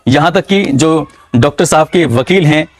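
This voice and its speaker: A man narrating a true-crime story in a measured, dramatic storytelling manner. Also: on the high side, expressive, dry recording.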